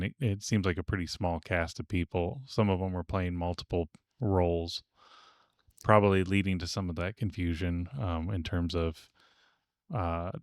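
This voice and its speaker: A man's voice: sits low, clean tone, dry recording, studio quality. A man co-hosting a podcast in a casual, conversational discussion. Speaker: podcast co-host.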